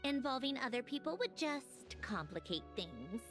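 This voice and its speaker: A cool, self-assured agent. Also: female voice, sits high, normal speed. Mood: confident. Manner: calm, confident, faintly smug. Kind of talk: game cutscene voice acting. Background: game music.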